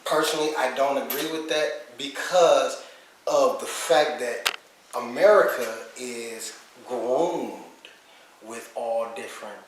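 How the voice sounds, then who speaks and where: A man's voice: medium pitch, slow pace. Guy guest, earnest, emphatic, far off mic, echoey room with noise behind. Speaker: panel guest. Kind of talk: casual discussion.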